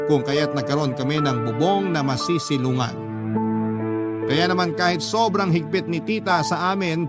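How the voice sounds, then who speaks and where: A man narrating a first-person letter as a radio drama storyteller, in a dramatic scripted style. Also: fairly high pitch. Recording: loud music bed under voice. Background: loud instrumental music.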